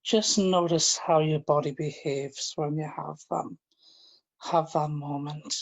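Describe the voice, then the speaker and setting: A man leading a guided relaxation, speaking in a gentle, informal and halting way, often repeating his phrases. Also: fairly high pitch, fairly even intonation, creaky. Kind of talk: guided relaxation, meditation instruction.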